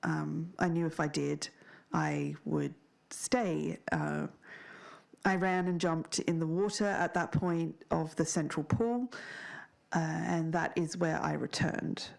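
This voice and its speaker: An actress as a thoughtful woman recalling something uncanny for a podcast drama. She speaks slowly and hesitantly, with a low-set voice full of creak. Her delivery is understated and reflective. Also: fairly even intonation, heavily acted. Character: thoughtful woman recounting a strange otherworldly experience. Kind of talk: halting first-person recollection in interview format.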